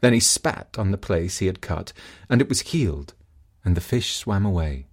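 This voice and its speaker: A male narrator reads a folk tale from a script. His voice is fairly low and husky, and his intonation is very lively, rising and falling widely. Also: normal speed. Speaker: storybook narrator.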